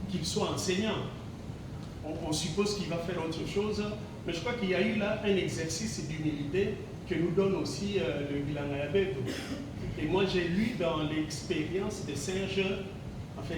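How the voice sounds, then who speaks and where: A man in his sixties from Congo, a teacher giving a reflective gala speech, hesitant and full of fillers and repeated words. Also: a little high. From Congo (DRC). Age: 60s. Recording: very echoey hall, distant mic, background noise.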